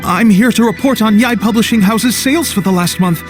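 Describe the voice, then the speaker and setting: A man's voice: high voice, brisk, over music, lightly acted. A man voicing a nervous young clerk who stammers through a sales report, in a video game. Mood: flustered. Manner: hesitant, flustered.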